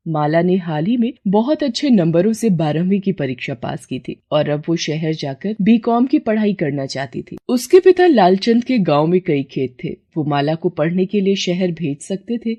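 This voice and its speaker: Mellow female story narrator. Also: lowish voice.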